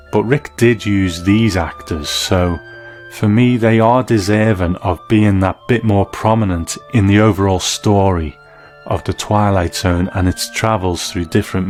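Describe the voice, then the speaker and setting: A man's voice: on the low side, a little slow, darkish tone, soft background music. A man hosting and narrating a scripted documentary, putting questions to a guest. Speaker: host and interviewer.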